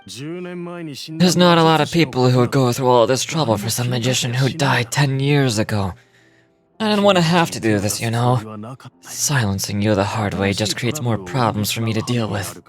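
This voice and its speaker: A man playing a menacing crime boss in a fantasy game, with a cold, taunting, deliberate delivery. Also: medium pitch, crowd noise, close to an everyday voice. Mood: cold threat. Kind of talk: dramatic video game voice acting. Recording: music behind the voice.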